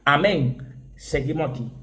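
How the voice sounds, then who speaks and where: A man with a Dominican accent preaches with rising fervor, his voice sitting a little high for a man. He hammers points home by repeating words and throws in sudden shouted bursts. His delivery is emphatic and direct. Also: a little slow, roomy sound. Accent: Dominican Spanish. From Dominican Republic. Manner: repeats words and phrases for stress. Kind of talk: sermon.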